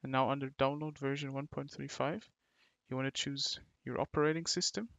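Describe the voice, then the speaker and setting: A man narrating a step-by-step software tutorial in short, halting instructional phrases into a close mic. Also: medium pitch, steady tone, creaky, no room sound. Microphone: close mic, screen-recording voice-over.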